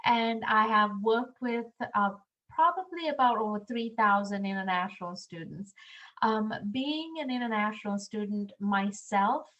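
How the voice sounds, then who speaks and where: A woman's voice: medium pitch, measured pace, a bit bright, muffled recording. A woman from Sri Lanka, an international programs director, presenting a webinar in a friendly way with many "um"s. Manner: friendly, filler-heavy speech with many "um"s.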